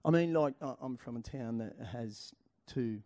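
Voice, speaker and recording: A man's voice: mid-range voice, moderate pace, dark tone. A man, a comedian on a live panel, talking in a hesitant way full of ums and restarts. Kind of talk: live podcast panel chat.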